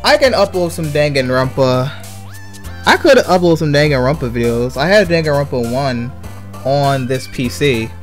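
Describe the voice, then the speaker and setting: A man talks in a casual, rambling way on a gaming livestream. He circles back over his own phrases in a mid-pitched voice, with music playing behind him. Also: animated, moderate pace. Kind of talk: casual gaming stream chat.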